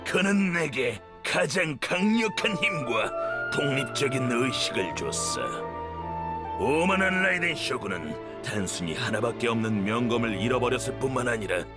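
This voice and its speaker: A proud, vengeful sword spirit from a video game, acted by a man, speaks in grandiose scorn. The delivery swings widely in pitch, theatrical and contemptuous, with music clearly playing behind it. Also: sing-song, normal speed, lightly acted. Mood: proud, bitter. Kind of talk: anime-style video game voice acting.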